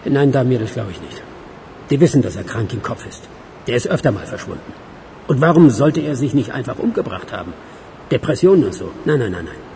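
Cold criminal ringleader, mid-pitched and contemptuous, radio crime drama with noisy outdoor ambience. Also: male voice, very animated, fairly quick, creaky, warm tone. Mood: cold assessing. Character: scornful male crime boss nicknamed Doctor.

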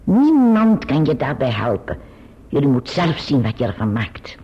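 A woman playing a grim but kindly old great-aunt in a radio play, speaking deliberately and admonishingly in a husky voice. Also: a bass voice, big pitch swings, warm tone, full character voice. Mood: brisk, affectionate. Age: older. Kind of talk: Dutch radio play acting. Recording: dull, muffled radio recording.

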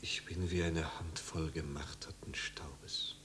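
An actor playing a tormented, melancholy young wanderer in a 1950s radio drama, brooding and anguished. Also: male voice, rumbling low, little pitch movement, takes it slowly, a bit bright, whispered, full character voice. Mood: weary, despondent. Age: young adult. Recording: old radio recording with hiss and hum.

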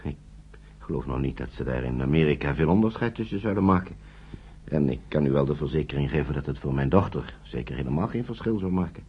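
An actor playing a kindly older gentleman who looks after foster children in a radio drama, speaking courteously and hesitantly, repeating himself. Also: very deep voice, expressive, brisk, slightly rough, exaggerated voice. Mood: earnest, hesitant. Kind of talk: naturalistic radio play acting. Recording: dull, lo-fi old radio play recording.

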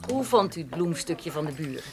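A woman's voice: low voice, big pitch swings, fairly quick, very noisy. An actress playing an attentive, chatty woman of the house in a radio drama. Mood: inquiring.

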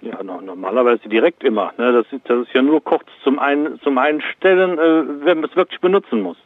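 A man's voice: medium pitch, brisk, no room sound. A man giving casual, matter-of-fact advice over the telephone as a seller, tagging his sentences with small colloquial questions. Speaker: shop seller answering a customer's call. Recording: tinny narrow phone line.

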